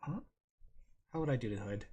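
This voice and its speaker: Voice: medium pitch, lively intonation, fairly quick, warm tone, slightly rough. A vtuber streamer who giggles often and chats playfully with viewers while drawing. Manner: giggly, playful, talks to chat. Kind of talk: casual livestream chat while drawing.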